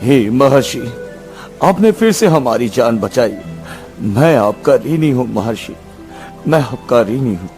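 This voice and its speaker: A man's voice: medium pitch, lively intonation, a little slow, a little mellow, faint music behind, close to an everyday voice. An actor voicing a proud king and father in a dubbed cartoon, speaking earnestly and with emphasis. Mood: grateful.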